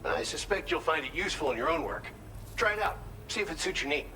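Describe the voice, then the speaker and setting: Bright, lively, earnest game settlement worker. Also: male voice, fairly high pitch, fast talker, a little hiss or hum. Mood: friendly, earnest. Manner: helpful, earnest, explanatory. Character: friendly, grateful male settlement worker explaining equipment. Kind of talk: video game cutscene dialogue.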